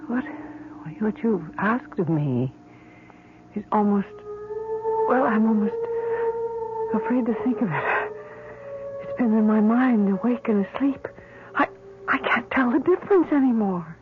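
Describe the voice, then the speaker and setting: A woman playing a desperate, frightened, grieving mother in a radio drama, halting and pleading. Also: fairly high pitch, expressive. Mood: afraid, torn. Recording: noisy tinny old radio recording.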